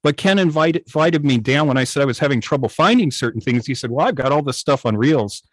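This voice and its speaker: An older man with an American accent telling stories informally on a video call, halting and often pausing mid-thought. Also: medium pitch, expressive, no echo. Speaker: guest, old-time radio station operator. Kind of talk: informal storytelling in an online club meeting.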